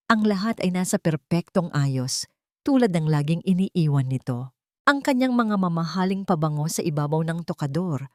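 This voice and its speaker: A woman narrating a romance drama story in a measured, dramatic storytelling manner. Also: fairly low pitch, darkish tone, no echo, clean studio sound.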